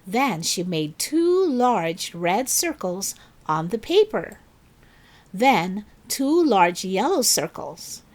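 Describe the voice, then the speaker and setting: A woman hosting and narrating a children's story in an expressive, deliberate read-aloud style. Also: medium pitch. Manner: slow, deliberate, animated delivery for children. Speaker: story reader and channel host.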